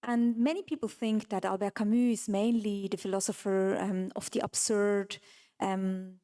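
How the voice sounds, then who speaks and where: A woman moderating a public panel, a non-native English speaker, friendly and filling her pauses with "um". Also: medium pitch, fairly even intonation, mellow. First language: not English.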